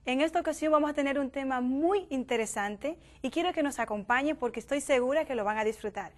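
A young woman from the Dominican Republic hosting a youth talk show in a TV studio, lively and quick to laugh. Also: high-pitched. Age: young adult. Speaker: host. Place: forest-themed TV studio set. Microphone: lapel mic.

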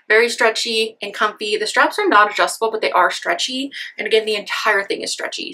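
A woman's voice: mid-range voice, pushed and bright. A young woman chatting through a clothing try-on haul, gushing over each piece, a little sniffly from a sinus infection, recorded in a decorated room with clothing racks. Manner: bubbly and gushing, many "so cute" exclamations. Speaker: fashion haul vlogger. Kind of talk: casual try-on haul chat.

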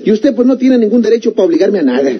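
A man's voice: high voice, big pitch swings, fast. An actor playing a sly, stubborn, blunt country man with a rural northern Mexican accent in an old radio drama. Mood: firm, defensive. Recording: dull, noisy old radio recording.

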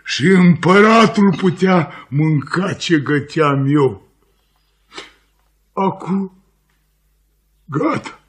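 Man acting a high-voiced bitter old cook. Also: pauses often, natural voice. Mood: boastful, aggrieved. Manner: ranting, plaintive. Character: bitter old cast-off male cook, proud and drunken. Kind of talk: Romanian radio theatre acting. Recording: 1950s radio theatre recording.

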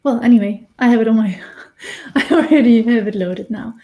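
German-accented woman in her thirties, warm and slightly high, relaxed chatty live-stream teacher. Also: normal speed. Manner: chatty, laughs often, restarts while painting. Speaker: face painting tutor. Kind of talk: casual live-stream tutorial. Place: small indoor room with decorated wall.

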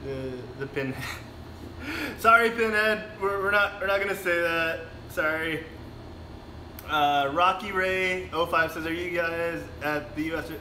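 A man's voice: fairly high pitch, measured pace, bright, forceful tone. A young man from the Philippines, co-hosting a casual live stream, rambling with lots of fillers and restarts. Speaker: streamer and co-host. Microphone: phone. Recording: echoey room, clear background noise.

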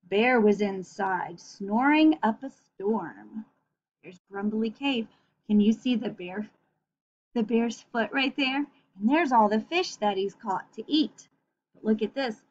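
A woman reading a picture book aloud for children in an expressive, gentle way, with chatty asides. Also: a little high, slow, slight background noise. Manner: expressive, gentle, addressing young listeners. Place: small room at home. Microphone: computer webcam at arm's length. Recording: dull, muffled webcam recording.

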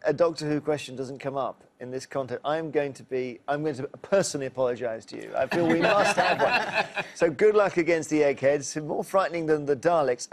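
A middle-aged man hosting a TV quiz show in a studio, chatty and jokey. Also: a little high. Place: TV studio set.

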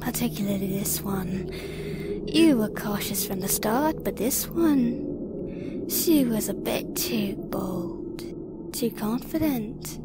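A woman's voice: mid-range voice, expressive, slow, heavy background noise. A woman voicing a malicious female ghost who has possessed a young woman, taunting with cruel laughter. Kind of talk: audio drama acting.

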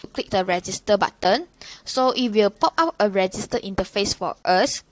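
A young woman, a student presenter, walking through a software demo, often hesitating and starting with "so". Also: fairly high pitch, no echo, rough recording. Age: young adult. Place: indoors at a desk.

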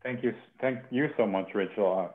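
A young man hosting a poetry reading informally, with a halting, thoughtful way of talking. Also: lowish voice, a little hiss or hum. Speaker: host of a poetry reading series. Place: home kitchen. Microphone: headset on a video call. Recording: phone-like narrow-band video call.

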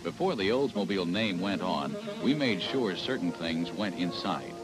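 Deep-voiced American male announcer, lively intonation. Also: moderate pace, rough recording. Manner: polished announcer delivery. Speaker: promotional film narrator. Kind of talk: scripted 1970s sales film narration. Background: music.

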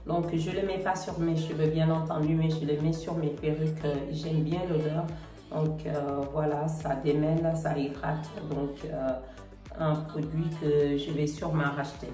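Low-voiced woman with a cold. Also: normal speed. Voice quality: slightly congested voice. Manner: relaxed, hesitant, many fillers. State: has a cold. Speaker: beauty vlogger. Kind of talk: casual product review to camera. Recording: roomy, slightly distant, faint music.

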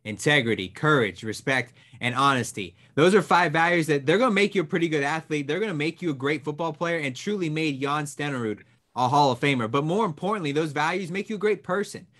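Young male host, energetic and upbeat, slightly higher voice, webcam in a small brick-walled room. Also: a little fast. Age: young adult. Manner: enthusiastic, energetic, talking with his hands. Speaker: host of a school program. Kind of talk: upbeat presenter introduction and interview.

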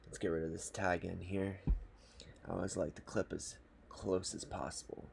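A man calmly explaining a fishing knot step by step at a desk indoors. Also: low-pitched, vocal fry, slight background noise. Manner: calm, matter-of-fact, unhurried. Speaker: fly fishing tutorial host. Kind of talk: step-by-step instructional talk. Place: small indoor room at a desk.

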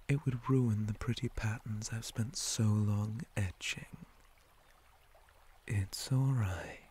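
A man voicing a menacing, possessive male spider monster in an ASMR roleplay, breathy and often whispering, with slow, softly taunting, formal speech. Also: fairly low pitch, a little hiss or hum. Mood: calmly menacing.

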